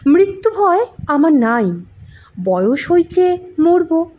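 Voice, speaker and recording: Woman voicing a slow, earnest old grandmother. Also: extremely high pitch, sing-song, dark tone, pitched above the performer's own voice, heavily acted. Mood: earnest, fearful. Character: frail, earnest 83-year-old village grandmother.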